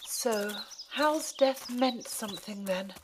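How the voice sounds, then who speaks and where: A woman playing a thoughtful, gently teasing religious sister in an audio drama, with a measured, wry delivery. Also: on the high side, background noise. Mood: pensive.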